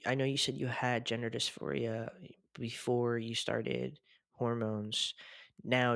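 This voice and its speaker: A man's voice: mid-range voice, gravelly, fairly bright tone, dry recording, studio quality. A man hosting a podcast, asking questions casually and chiming in with short encouraging affirmations. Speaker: podcast host. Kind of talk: casual interview chat.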